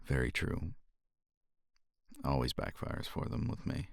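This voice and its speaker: A man playing a cocky, flirtatious competitor in an intimate romance ASMR roleplay, murmuring teasingly with a gravelly, husky, breathy voice close to the microphone. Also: extremely low pitch, no room sound, exaggerated voice. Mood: wry, curious. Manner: teasing, unhurried, murmuring with sighs and pauses.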